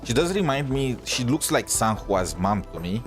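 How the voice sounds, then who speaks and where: A man's voice: medium pitch, sing-song, moderate pace. Rambling male game streamer over music. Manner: rambling, thinking aloud, often seeking agreement. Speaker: game livestreamer. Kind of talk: casual gaming stream chat. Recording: music playing behind the voice.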